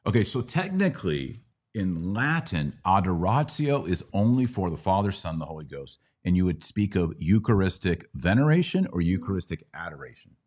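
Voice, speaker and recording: A middle-aged American man hosting a religious Q&A, explaining things casually like a teacher and often checking in with a quick "right?". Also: deep voice, very animated, a little mellow. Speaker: podcast host answering Bible questions.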